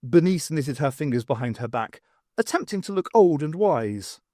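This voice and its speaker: Male audiobook narrator, fairly high voice, very animated delivery, close dry sound. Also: normal speed, no echo. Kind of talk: expressive scripted fiction narration.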